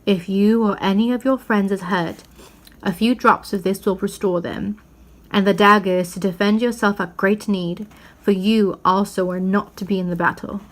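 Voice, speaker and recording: A woman's voice: mid-range voice, fairly even intonation, moderate pace, close to an everyday voice, rough recording. A woman voicing a kindly, commanding old Father Christmas from a storybook, in a solemn, declamatory manner. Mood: grave.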